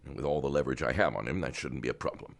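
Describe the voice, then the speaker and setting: Man voicing older tycoon, audio drama, cold threats, gravelly, very deep, lively pitch. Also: rapid-fire. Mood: furious. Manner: threatening, swings from hushed to loud. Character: cold, menacing older man, wealthy and controlling. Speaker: Doctor Barrows, wealthy older power broker.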